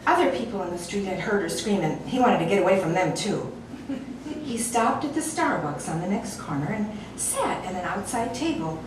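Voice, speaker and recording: A woman narrating a comic short story in a wry, amused way, often breaking into laughter. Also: medium pitch. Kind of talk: audiobook story reading. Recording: echoey room, distant mic, noisy.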